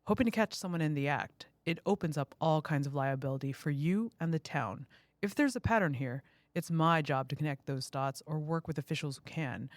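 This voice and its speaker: A woman playing a cool police detective in an audio drama, speaking in a measured, official and firm way. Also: low-pitched, no echo, close to an everyday voice. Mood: stern, cautioning.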